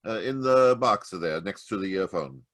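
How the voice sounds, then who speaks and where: An actor playing a halting, uneasy judge in old-time radio drama. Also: male voice, low-pitched, lively intonation, fairly bright tone, dry recording, studio quality. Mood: uneasy, hesitant. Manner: halting, hesitant. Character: hesitant, uneasy Italian male judge with secrets. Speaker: actor in a radio mystery performance. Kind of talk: 1940s radio drama acting. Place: home, live video call performance.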